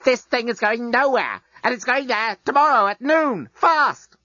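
A man voicing a scrawny, villainous male accountant in a shrill, screeching voice. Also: lively intonation, measured pace, sharp tone, no room sound, muffled recording, full character voice. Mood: smug.

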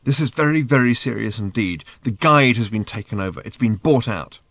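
A man's voice: medium pitch, expressive, fairly quick, fairly bright tone, no room sound. A man reading a comic science fiction audiobook, playing a vain, impatient alien man who talks in a snappy, insistent, boastful way. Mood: grave urgency. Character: brash, impatient, vain alien man, urgent and self-important.

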